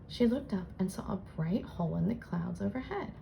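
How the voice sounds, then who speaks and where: A woman reading a children's storybook aloud in an expressive storytelling style, as host. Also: medium pitch, a little fast, vocal fry, slight background noise. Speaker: children's book reader and channel host.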